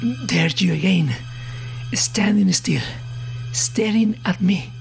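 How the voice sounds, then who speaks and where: A man's voice: sits high, slow pace, gravelly, faint music behind, voice pushed up, heavily acted. A man playing an anxious, sleepless, obsessed man in a horror story, agitated and pleading. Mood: uneasy.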